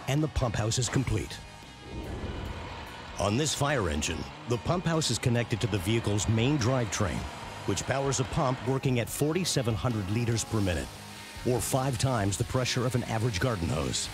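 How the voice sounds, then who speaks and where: A man reading scripted narration for a documentary series in a measured, punchy way. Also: medium pitch, expressive. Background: prominent background music.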